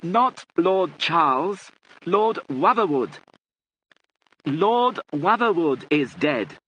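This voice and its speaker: A man's voice: a little high, sing-song, dull audio, a little hiss or hum, pitched above the performer's own voice. A man voicing an exasperated police detective in an audiobook, speaking urgently and emphatically as if to someone hard of hearing. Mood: loud, exasperated. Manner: loud, emphatic, halting, speaking to the deaf.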